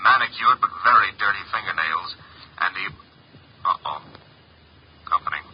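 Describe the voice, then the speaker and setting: A man's voice: low-pitched, normal speed, slight background noise. An actor playing a flippant detective's legman reporting in slangy, clipped phrases through a telephone effect in a 1950s radio drama. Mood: flippant, brisk. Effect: telephone filter. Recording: dull, telephone-filtered 1950 radio recording.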